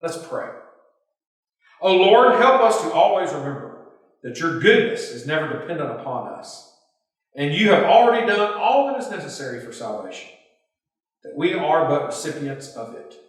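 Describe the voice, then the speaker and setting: A man, a pastor, delivering a scripted devotional sermon in measured, emphatic phrases. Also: a little high, big pitch swings. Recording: very echoey hall, distant mic.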